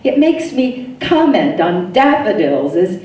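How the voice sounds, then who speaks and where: A woman poet giving an expressive poetry reading, with deliberate, dramatic phrasing and pauses. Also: mid-range voice. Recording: roomy echo, distant mic, faint noise.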